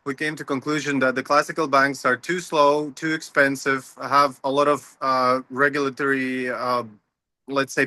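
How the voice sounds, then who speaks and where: Bright-voiced man on a call. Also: mid-range voice, no room sound. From Latvia. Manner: upbeat, explanatory, slightly non-native phrasing. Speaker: company founder, guest. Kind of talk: casual interview chat. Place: home, early morning. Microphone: video call.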